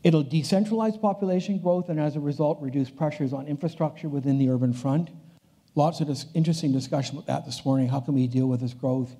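Older male keynote speaker, deep mellow timbre, casual lecture delivery that circles back on its phrases. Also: steady tone, fast talker, husky. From Canada. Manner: conversational, restarts phrases, walks the stage. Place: large conference hall stage.

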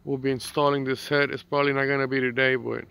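A man's voice: medium pitch, fast talker, creaky, smooth, dry recording. A man, a mechanic, casually narrating repair work step by step while filming on a phone outdoors in a work yard. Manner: relaxed, explains step by step. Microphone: phone camera. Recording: outdoor noise behind voice.

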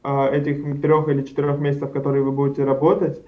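A man's voice: mid-range voice, monotone, quick pace. A young man from Karachay-Cherkessia answering interview questions over a video call, rambling with frequent fillers and self-corrections. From Karachay-Cherkessia, Russia. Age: young adult. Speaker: studio guest. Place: indoors at home, plain wall. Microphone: video call webcam. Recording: dull lo-fi video call audio.

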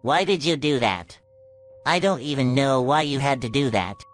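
A man voicing a jittery, excitable young male sea sponge in a fan-made story, with a high, whiny manner that turns shrill when upset. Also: moderate pace, soft background music, close to an everyday voice. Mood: sulking.

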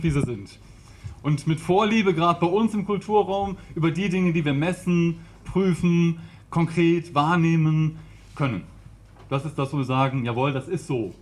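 A man in his thirties from the Rhineland, giving a chatty, informal talk to an audience with a handheld mic in a small brick-walled room. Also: on the high side. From Rhineland, Germany. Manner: chatty, laughs often, gestures.

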